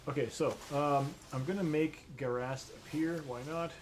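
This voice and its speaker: Male game master, fairly high voice, rambling and casual. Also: moderate pace, noisy background. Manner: rambling, full of fillers and restarts. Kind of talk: narrating a tabletop game with friends.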